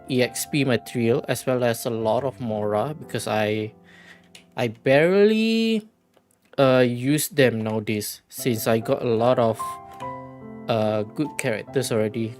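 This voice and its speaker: A man streams casual reactions in a middle-pitched voice. He talks slowly and rambles hesitantly, with soft guitar music in the background. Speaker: streamer reacting at a watch party. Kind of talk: casual livestream commentary.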